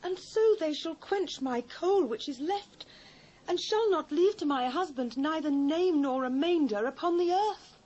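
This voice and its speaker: Mourning widow, British, high voice, humble, pleading, archaic Bible drama, dull cassette sound. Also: female voice, lively intonation, unhurried, a little mellow. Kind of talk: dramatised Bible acting, formal archaic English. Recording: dull old cassette transfer.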